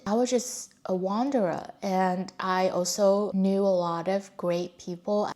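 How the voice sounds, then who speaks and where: A woman's voice: mid-range voice, darkish tone. A young adult woman from China, a native Mandarin speaker with a Chinese accent, telling her life story in a reflective, candid way. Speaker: vlogger. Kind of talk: personal storytelling.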